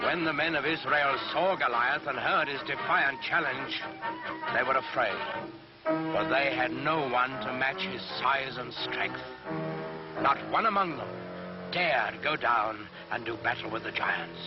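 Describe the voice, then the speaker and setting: A man narrating a scripted children's Bible story in a measured, theatrical, emphatic storytelling manner. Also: mid-range voice. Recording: dull lo-fi recording, loud music behind. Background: loud music.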